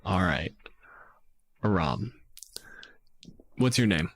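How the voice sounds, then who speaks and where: Low-voiced man running a tabletop game. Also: animated, extremely slow, a bit bright, breathy edge, no echo. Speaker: game master. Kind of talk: casual tabletop game narration and questions.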